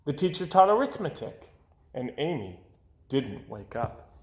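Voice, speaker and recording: A man reading a children's picture book aloud as an animated storyteller. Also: mid-range voice, measured pace, background noise. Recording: roomy, echoing sound.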